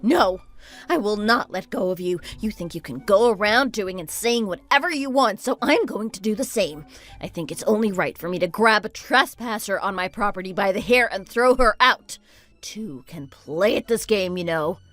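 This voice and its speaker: A woman playing an indignant, sarcastic wife in a dramatized first-person story, with an expressive, exasperated delivery. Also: medium pitch, moderate pace, no echo, close to an everyday voice. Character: indignant, sarcastic wife at the end of her patience.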